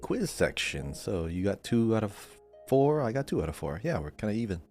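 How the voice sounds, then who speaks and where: A man's voice: on the low side, very animated, a little fast, a little mellow. A man co-hosting a podcast, chatting casually and explaining video game lore. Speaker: podcast co-host. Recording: music playing behind.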